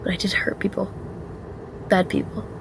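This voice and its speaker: A woman's voice: medium pitch, flat delivery, moderate pace, creaky, in a whisper, poor audio. A woman playing a frightened but determined young woman on the run in a first-person radio drama. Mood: subdued.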